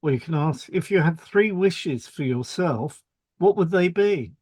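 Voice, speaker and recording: A man's voice: fairly high pitch, expressive, unhurried, a little mellow, husky, no room sound, clean studio sound. A man reading a scripted explanatory podcast as co-host.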